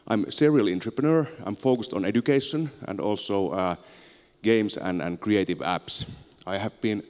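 A man in his thirties with a Finnish accent, giving an informal, somewhat halting conference presentation on a handheld microphone. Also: lowish voice, darkish tone, clean tone. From Finland. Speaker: conference speaker, tech entrepreneur. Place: on stage in a large auditorium. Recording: echoey large hall, long reverb.

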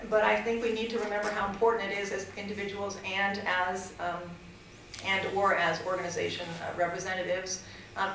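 Middle-aged woman, public comment, earnest and halting, flat delivery, echoey hall, off-mic. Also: mid-range voice, noisy background. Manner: earnest, hesitant with many fillers and restarts. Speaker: fair housing advocate at public hearing. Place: council chamber. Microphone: desk mic at a distance. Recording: echoey chamber, far from mic.

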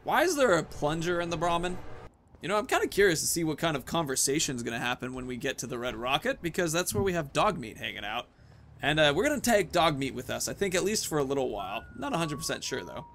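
A man's voice: on the high side, sing-song, fast talker, a bit bright. A young man hosting casual gameplay commentary in a chatty, jokey, rambling way. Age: young adult. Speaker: gaming video host. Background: game ambience, faint noise.

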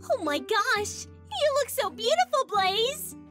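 A young cartoon hedgehog girl, bossy and emphatic. The voice is extremely high and animated, gushing over music. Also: takes it slowly, heavily acted. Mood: gushing, insistent. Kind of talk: fan comic dub.

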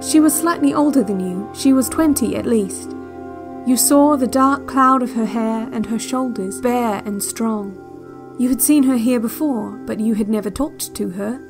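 An actress playing a calm, eerily watchful guide on a relaxation cassette, speaking in measured, soothing, deliberate instructions. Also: female voice, on the high side, music in the background, close to an everyday voice. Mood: quietly ominous. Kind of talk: slow hypnotic relaxation-tape narration in a fiction podcast.

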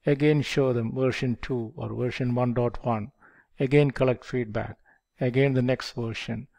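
An older man teaches in a measured, methodical way, with a gravelly texture to his mid-pitched voice. He speaks into a headset microphone in a dim room, walking through each step with patient repetition. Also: fairly even intonation, warm tone. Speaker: project management instructor. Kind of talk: explanatory lecture. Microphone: headset mic.

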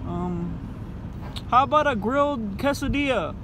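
Young man, very high pitch, drive-thru prankster, car interior, slight noise. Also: lively intonation, measured pace. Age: young adult. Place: inside a parked car at night. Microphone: phone held close in front.

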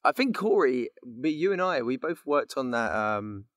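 A man's voice: mid-range voice, very animated, normal speed, fairly bright tone, no room sound, clean studio sound. A man in his late twenties with a British accent, chatting casually as a podcast guest and telling rambling, joking stories. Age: late 20s. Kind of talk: casual chat.

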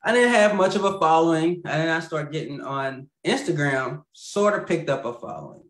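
A man's voice: a little high, very animated, normal speed. A man being interviewed over a video call about his puppets, rambling and stopping to apologize, laughing easily. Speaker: puppeteer guest. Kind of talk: casual interview chat. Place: home room with a lamp and plant. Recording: echoey room on a video call.

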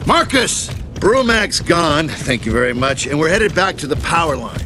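A man's voice: on the high side, big pitch swings, normal speed, loud music behind the voice. A man playing a cynical, sarcastic soldier who gripes, in a military shooter game. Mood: alarmed, griping. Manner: sarcastic, exasperated. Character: cynical, griping, sarcastic young male soldier. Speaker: voice actor in a video game. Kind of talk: military shooter cutscene acting.

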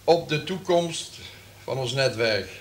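An actor in a Dutch radio play playing a cautious, courteous conspirator with a measured, sceptical manner. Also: male voice, medium pitch, expressive.

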